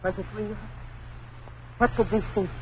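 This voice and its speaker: A woman's voice: mid-range voice, steady tone, a little slow, natural voice. An actress playing a frightened, anxious wife and mother, with breathless, pleading delivery in an old-time radio horror play. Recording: tinny, noisy old radio broadcast.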